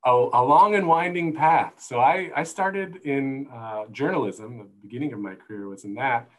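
A middle-aged man explaining things as a panel guest on a video call, in thoughtful, halting phrases, on an earbud mic. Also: medium pitch, a bit bright, poor audio. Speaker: guest, zoo conservation manager. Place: indoor room, video call. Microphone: wired earbuds headset.

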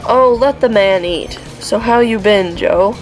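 An actress playing a friendly, wry diner waitress making folksy small talk in an audio drama. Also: female voice, mid-range voice, a little slow, soft background music, lightly acted, low-quality recording.

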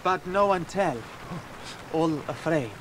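A man's voice: fairly high pitch, slow, noisy background. An actor playing a timid, loyal South American orange-seller boy in a radio drama, speaking urgent broken English. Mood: fearful, earnest.

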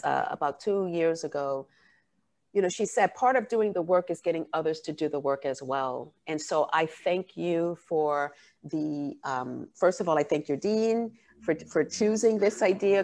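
Low-voiced woman giving an earnest keynote. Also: a little mellow. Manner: earnest, measured, with frequent fillers. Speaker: keynote speaker. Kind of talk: academic keynote talk. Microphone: video call.

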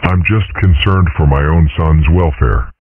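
Cartoon dragon father, male actor: dignified, understated, polite, with a bottomless, rough-edged voice and dry close recording. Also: extremely low pitch, no echo, narrow, tinny audio, exaggerated voice. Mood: calm, dignified. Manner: formal, measured, understated. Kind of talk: comic strip dub.

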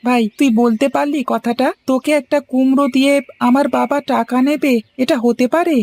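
A woman's voice: high-pitched, fairly even intonation, brisk, darkish tone. A woman voicing a loyal, generous village boy in a cartoon, talking to a friend in an emphatic, friendly way. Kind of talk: animated cartoon storytelling dialogue.

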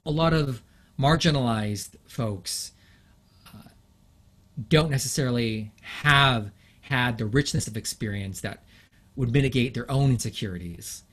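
A middle-aged man from England, an interview guest, talking thoughtfully with frequent fillers and pauses. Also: medium pitch, a bit bright. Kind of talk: reflective interview conversation.

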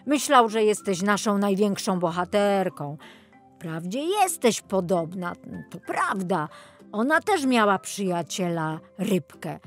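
A friendly old male city guide, voiced by a woman from a children's audio story. He explains proudly and with animation. Soft music runs underneath. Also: mid-range voice. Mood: evasive. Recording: music behind the voice.

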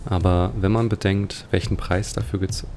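A man's voice: low-pitched, fairly even intonation, moderate pace, darkish tone, a little hiss or hum. A man giving casual, reflective commentary as he plays a game, musing as he goes. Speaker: let's player. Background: game music.

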